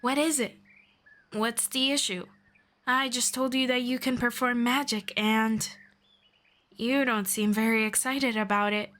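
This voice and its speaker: A woman voicing a patient, gently formal female elf friend in an ASMR roleplay, speaking softly and politely. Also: on the high side, steady tone. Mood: calm, patient. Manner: soft, unhurried, politely formal.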